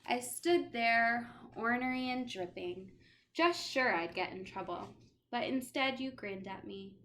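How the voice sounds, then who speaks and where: Bright, animated woman reading a storybook. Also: fairly high pitch, unhurried, slight background noise. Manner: expressive, dramatic emphasis. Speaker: teacher reading a picture book aloud. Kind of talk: animated children's storybook read-aloud.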